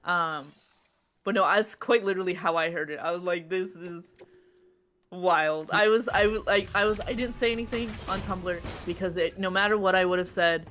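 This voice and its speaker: A co-host who speaks English as a second language, rambling with many restarts in casual stream chat. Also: female voice, on the low side, normal speed, fairly bright tone, slight background noise. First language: not English.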